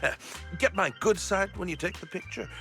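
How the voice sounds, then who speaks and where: An actor playing a vain, self-serving police inspector in a game, with a raspy, excitable voice. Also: male voice, high-pitched, a little fast, soft background music, full character voice. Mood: pompous. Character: vain, self-serving male police chief, middle-aged.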